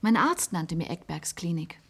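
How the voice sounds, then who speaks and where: An actress playing a wistful, resigned former secretary in a German science-fiction radio play, confiding in halting phrases. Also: female voice, lowish voice, animated, gravelly, fairly bright tone, breathy edge, full character voice. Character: wistful former secretary, resigned patient living in an isolated institute.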